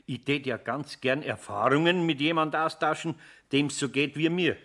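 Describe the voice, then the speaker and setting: An actor playing a good-natured, patient older carpenter with a Bavarian accent in a German children's radio play, folksy and conversational. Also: male voice, a little high, moderate pace, fairly bright tone. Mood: curious.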